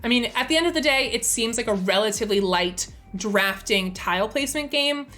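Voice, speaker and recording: A young woman hosting a relaxed live stream, thinking aloud with lots of fillers and easy enthusiasm. Her voice sounds slightly strained, as if she is losing it. Soft music plays faintly in the background. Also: on the high side, brisk. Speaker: streamer and host. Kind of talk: casual live-stream chat.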